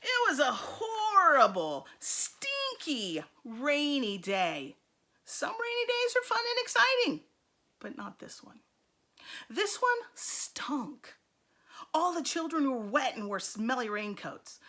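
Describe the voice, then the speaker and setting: A woman teacher reading a children's storybook aloud, expressively and with deliberate dramatic emphasis. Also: sits high, wildly swooping pitch, sharp tone.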